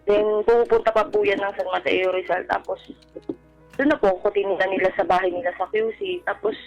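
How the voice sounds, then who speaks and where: A woman's voice: medium pitch, lively intonation, fairly quick, creaky, muffled recording, soft background music. A young Filipino woman, a caller telling a personal story to radio hosts in a polite, deferential manner. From Philippines. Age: young adult.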